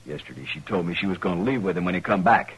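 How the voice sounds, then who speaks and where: Jealous rancher villain, low pitch, rustic, gruff, defiant, old western radio recording. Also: male voice, expressive, fast, full character voice, rough recording. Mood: bitter, defiant. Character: surly, jealous male rancher, a wife killer. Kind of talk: 1950s western radio drama acting.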